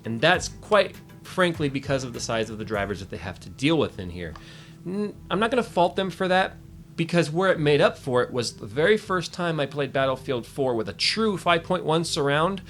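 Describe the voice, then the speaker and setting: A man's voice: on the high side, lively intonation, moderate pace, fairly bright tone, soft background music. A man giving a casual, jokey product review in a chatty way, often interrupting himself. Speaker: tech reviewer.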